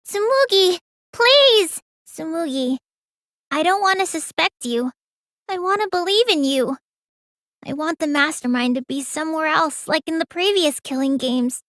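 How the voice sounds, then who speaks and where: A woman's voice: extremely high pitch, expressive, unhurried, a bit bright, no echo, studio quality, exaggerated voice. A woman voicing a small, childlike teenage girl mage in an anime video game, earnest and pouty, stammering when flustered. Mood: pleading.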